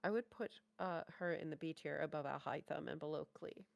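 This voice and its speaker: Low, gravelly-edged woman chatting casually. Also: fairly quick, no room sound. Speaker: co-host of a reaction video. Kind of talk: casual chat, ranking game characters. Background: faint music.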